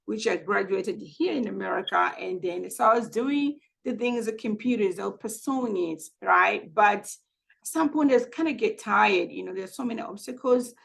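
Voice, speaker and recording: Tanzanian-born lady, pretty gravelly voice, mid pitch, chatty with lots of restarts. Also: moderate pace, rough recording. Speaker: guest speaker, founder of a Swahili school. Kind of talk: casual talk to an online community. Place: home in Houston.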